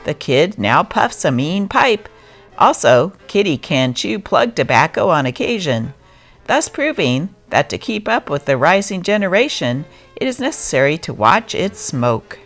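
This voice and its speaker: A woman's voice: sits low, big pitch swings, unhurried, fairly bright tone, soft background music. A woman narrator giving a scripted reading of old newspaper stories.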